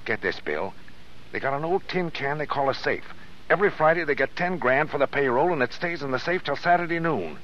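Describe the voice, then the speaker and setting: A scheming, resentful American small-time crook in a 1930s film. He is slangy and insistent, his pitch moving restlessly, on a dull old soundtrack. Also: expressive, fairly quick, a bit bright. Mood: bitter, scheming. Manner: griping, insistent.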